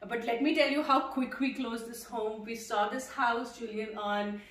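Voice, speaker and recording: A woman's voice: on the high side, steady tone, moderate pace, fairly bright tone, far from the mic. A young woman, a Punjabi speaker, chatting casually as she walks through an empty house, with many fillers. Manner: chatty, walks while talking, frequent uh fillers. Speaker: vlogger. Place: empty house, indoors, bare rooms. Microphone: handheld camera at a distance. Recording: echoey empty rooms, voice from off mic.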